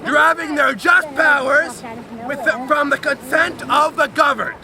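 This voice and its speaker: A man giving a loud, dramatic, emphatic reading of a historical document outdoors, with deliberate phrasing. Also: very high voice, fairly even intonation. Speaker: reader at an outdoor public reading. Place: outdoors on a lakeside beach. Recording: outdoor crowd and motorboat noise.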